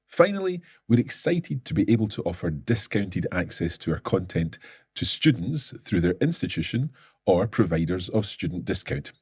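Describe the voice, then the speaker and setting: A man delivers a scripted announcement, his voice low and slightly husky. He sounds upbeat and eager throughout, and the recording is close and dry with no room sound. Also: big pitch swings, normal speed, a little mellow, no room sound. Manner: upbeat, enthusiastic presenter. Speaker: company presenter.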